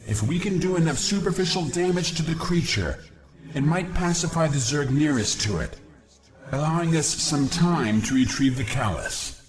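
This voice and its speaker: A man's voice: a little high, expressive, normal speed, a crowd in the background, close to an everyday voice, rough recording. Man as a proud young alien warrior, sweeping intonation. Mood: earnest. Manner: formal, earnest, declamatory. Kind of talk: video game voice acting. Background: music.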